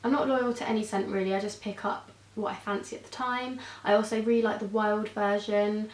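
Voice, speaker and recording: A young woman with a stuffy nose and a scratchy throat reviews products in a loose, chatty way, often stopping to say "um". Her voice sits at an ordinary middle pitch. It bounces around a bare room and sounds some distance from the mic. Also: level tone, brisk, echoey room. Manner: chatty, rambling, with frequent fillers and restarts. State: congested, sore throat. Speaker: beauty vlogger. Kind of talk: casual product review vlog.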